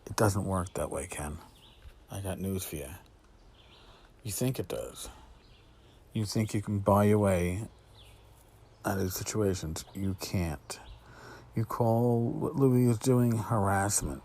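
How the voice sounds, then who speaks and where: A middle-aged man with a fairly low voice talks casually to his podcast listeners. He is blunt and sarcastic, swears freely, and leans on pauses and repeated phrases to drive his points home. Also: dark tone. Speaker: podcast host. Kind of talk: casual spoken podcast monologue.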